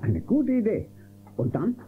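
A man's voice: high-pitched, huge pitch swings, unhurried, slight background noise, exaggerated voice. A man playing an eager, deferential sidekick creature in a German children's audio drama. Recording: tinny old cassette recording.

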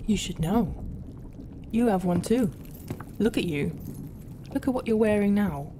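Woman acting a slow, hushed monstrous pirate captain. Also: medium pitch, lively intonation, background noise, natural voice. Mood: brooding, menacing. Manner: hushed, often breathy half-whisper, sighing, hesitant pauses. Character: brooding non-human tentacled female pirate captain, proud, guarded. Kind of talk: roleplay ASMR acting.

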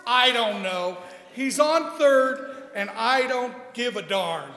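Comedy stooge, played by a man: very high, swooping voice, baffled and indignant, echoing hall. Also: animated, slow, full character voice. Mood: exasperated. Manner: loud, indignant, repetitive. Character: exasperated, confused ballplayer in a classic comedy routine. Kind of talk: old-time radio comedy stage act. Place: stage in a hall. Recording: echoey hall with long reverb.

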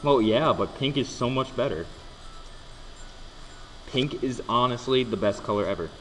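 A man's voice: medium pitch, animated, normal speed, fairly bright tone, a little hiss or hum. A young man hosting a casual let's play, joking, swearing and stumbling over his words as he plays. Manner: joking, swearing, stammering restarts. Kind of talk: casual gameplay commentary. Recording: echoey room with long reverb.

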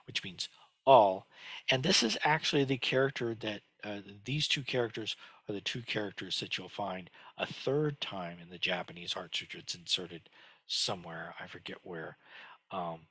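Low, husky-voiced older man teaching. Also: sharp tone, no room sound. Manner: explanatory, halting, many fillers and restarts. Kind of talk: screen-share lesson on Chinese characters. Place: home study with bookshelves. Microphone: headset.

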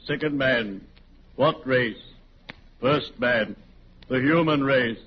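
An actor playing a courtly, morbidly cheerful undertaker in a solemn, genteel manner for a 1940s radio comedy. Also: male voice, medium pitch, natural voice. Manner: solemn, genteel, drawn-out delivery. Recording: muffled, noisy 1940s radio, audience behind.

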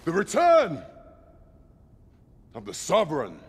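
A grandiose court herald in a fantasy game cutscene. He orates in archaic phrases, high-pitched and unhurried, with wide theatrical swings. The voice rings through a long hall reverb over music. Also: huge pitch swings, crowd noise, exaggerated voice. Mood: exultant, proclaiming. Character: pompous male court herald proclaiming a new sovereign.

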